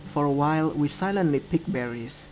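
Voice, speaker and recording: A man's voice: fairly high pitch, a little fast, darkish tone, faint music behind, low-quality recording. A man reading the scripted narration and dialogue of a visual novel aloud. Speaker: video game player reading aloud.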